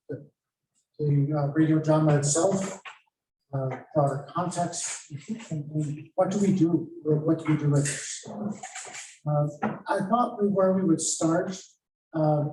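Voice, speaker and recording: A man with a fairly high, mellow voice opens a panel as moderator. He hesitates and searches for words, in an echoey room away from the mic. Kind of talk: introducing a panel discussion. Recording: echoey room, distant mic, faint noise.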